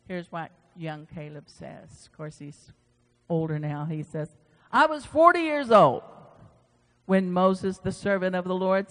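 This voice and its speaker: A man's voice: high-pitched, a bit bright. A man preaching an informal sermon, conversational and folksy, repeating phrases for emphasis and moving into scripture reading. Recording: reverberant hall.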